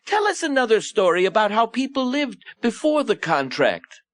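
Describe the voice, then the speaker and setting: A man's voice: sits high, wildly swooping pitch, normal speed, a bit bright, no echo, voice pushed up, full character voice. A man voicing an eager little orphan girl who pleads like a child in a satirical storybook. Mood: eager, pleading.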